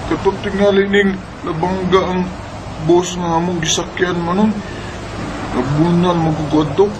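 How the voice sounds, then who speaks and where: A man acting an ailing, weary young brother in a Bisaya radio drama, with a halting, groaning, weak delivery. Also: high voice, steady tone, natural voice. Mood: pained, weary. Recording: noisy background behind the voice.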